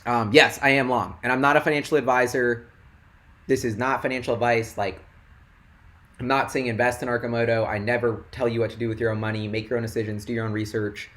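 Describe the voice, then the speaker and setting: A young man hosting a casual investing livestream, rambling and excitable with lots of "like", his voice going hoarse. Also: mid-range voice, fast. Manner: rambling and excitable, heavy "like" filler.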